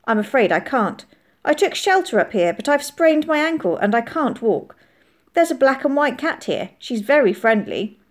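A woman's voice: high voice, very animated, normal speed, pitched above the performer's own voice. A woman voicing a polite, earnest soldier who speaks in careful, formal phrases in an audiobook. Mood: apologetic. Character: young soldier hiding in a barn, polite, guarded, earnest. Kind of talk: audiobook character voice.

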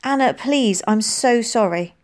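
Woman doing a sorry schoolgirl, tearful, rushing through her apology, higher and louder. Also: close to an everyday voice. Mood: pleading, remorseful. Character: remorseful schoolgirl pleading for forgiveness.

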